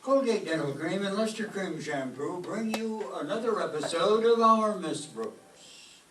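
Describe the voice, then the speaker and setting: A man's voice: sits high, lively intonation, unhurried, fairly bright tone. A man playing a genial network radio announcer, presenting in an old-time style. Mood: presentational. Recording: echoey stage, distant mic, faint noise.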